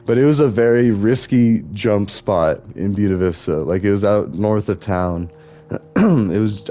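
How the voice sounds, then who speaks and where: A young man with a deep, mellow voice talks on and on while playing a game. His thoughts wander from one tangent to the next in a loose, musing way. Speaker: gaming vlogger.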